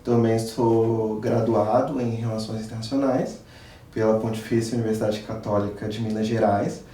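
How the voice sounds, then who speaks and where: A young man from Espírito Santo, Brazil, a master's student, introducing himself as a guest in a measured, somewhat formal way. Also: on the low side, level tone, dark tone. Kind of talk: self-introduction in an interview. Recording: distant, roomy mic with faint noise.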